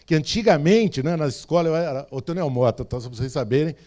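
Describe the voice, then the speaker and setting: A man's voice: on the high side, animated, fast, dark tone. A man with an accent from interior São Paulo state, giving a stage talk to an audience in a conversational way, often checking in with the crowd. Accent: Brazilian Portuguese, interior São Paulo state. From Brazil. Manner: conversational, with frequent "tá certo?" and "né?" check-ins. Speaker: speaker. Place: event stage before a live audience.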